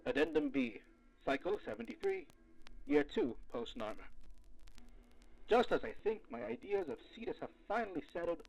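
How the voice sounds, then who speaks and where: A gravelly, fairly high-voiced investigator played by a man dictates field logs for an audio drama. He is wry and jaded, speaking in formal, articulate phrases with lively swings in pitch. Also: heavily acted, poor audio. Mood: intrigued, determined. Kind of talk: scripted audio drama log narration.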